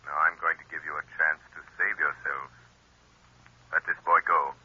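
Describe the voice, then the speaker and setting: An actor playing an invisible hero who speaks in a calm, commanding, measured manner, in a 1930s radio drama. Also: male voice, very deep voice, slightly rough, full character voice. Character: unseen avenging hero speaking from nowhere. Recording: muffled old radio recording.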